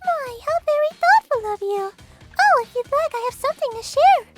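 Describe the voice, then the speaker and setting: Kindly older manga mother-in-law, voiced by a woman: extremely high, gentle, lilting, music clearly underneath. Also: animated, moderate pace. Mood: gentle, concerned. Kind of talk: manga dub character acting. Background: background music.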